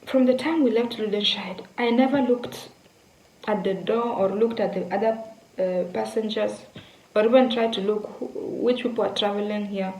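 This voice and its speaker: A woman's voice: mid-range voice, steady tone, moderate pace, a little mellow, slight background noise. Chatty Kenyan-born woman in echoey room. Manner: chatty, repeats words, addresses viewers directly. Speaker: vlogger. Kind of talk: casual storytelling to viewers.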